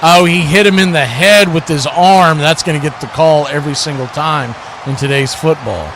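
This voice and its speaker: A man's voice: a little high, normal speed. An American man giving live football play-by-play commentary in clipped short phrases. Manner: clipped short phrases calling each action. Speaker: play-by-play announcer for an online watch party stream. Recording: clear background noise.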